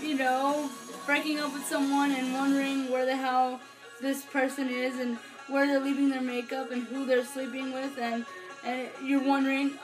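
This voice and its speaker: This is a young woman with a high voice, chatting casually to a camera in her bedroom. She sounds earnest and gushing, like a devoted fan, and often hesitates or lets a thought trail off. Music plays clearly behind her. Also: rough recording. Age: young adult. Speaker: vlogger, fan album reviewer. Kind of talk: casual unscripted review to camera. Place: small furnished bedroom, indoors. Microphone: camera or webcam a few feet away.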